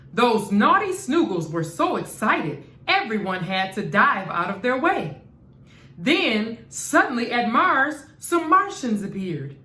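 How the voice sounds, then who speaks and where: A woman reading a children's bedtime story aloud as an expressive narrator. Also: fairly high pitch. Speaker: storybook reader. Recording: echoey room.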